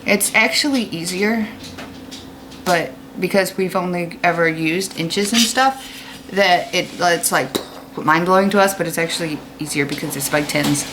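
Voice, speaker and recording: A woman's voice: on the low side, normal speed. American woman teacher, noisy recording. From United States. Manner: explanatory, asks questions of young listeners. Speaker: fifth-grade teacher. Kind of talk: children's read-aloud lesson with informal asides.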